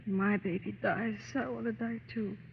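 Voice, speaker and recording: An actress playing a distraught young mother in an old radio drama, speaking in a tremulous, halting, emotional way. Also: medium pitch, steady tone, gravelly, slight background noise, close to an everyday voice. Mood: despairing. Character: anguished young mother fearing for her dying baby. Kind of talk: 1930s-40s radio drama acting. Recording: muffled old radio recording, music behind.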